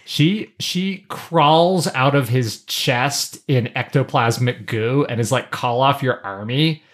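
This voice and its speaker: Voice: mid-range voice, takes it slowly. A podcast co-host chatting casually, adding wry, playful commentary and banter.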